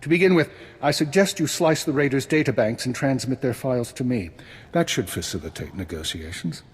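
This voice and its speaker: An actor playing a shrewd, formal, dryly polite retired spymaster in a science-fiction video game. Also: male voice, medium pitch, animated, fairly quick, breathy edge. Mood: businesslike. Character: shrewd, unflappable male retired imperial spymaster. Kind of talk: scripted video game acting.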